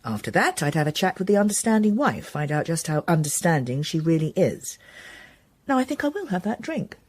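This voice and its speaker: An actress playing a shrewd, teasing confidante with a deadpan, probing manner in a naturalistic radio drama. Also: female voice, on the low side, a little fast. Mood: shrewd, wry.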